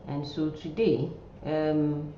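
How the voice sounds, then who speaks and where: A woman giving an informal online nursing lecture in a halting way, often repeating words and phrases. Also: low-pitched, steady tone. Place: indoor room, online class. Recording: muffled, roomy sound with faint noise.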